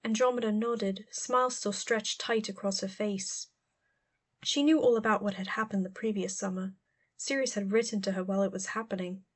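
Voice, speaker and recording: A woman's voice: medium pitch, steady tone, moderate pace. A woman narrating a story as an audiobook reader, in scripted prose.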